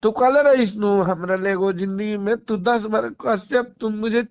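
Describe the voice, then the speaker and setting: A man's voice: sits high, normal speed, smooth. A man with a Bhojpuri accent in a heated personal argument, speaking in an insistent, confrontational way and slipping into dialect. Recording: muffled, lo-fi viral phone-call recording.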